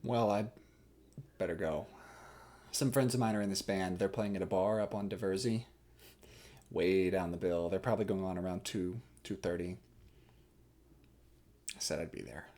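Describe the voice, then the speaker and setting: A man's voice: low voice, normal speed, pauses often, warm tone, voice pushed down. Man acting a nervous, rambling young math geek. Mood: awkward, nervous. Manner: rambling, self-conscious, hesitant. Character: nervous, geeky young mathematician awkwardly working up courage. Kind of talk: contemporary stage monologue.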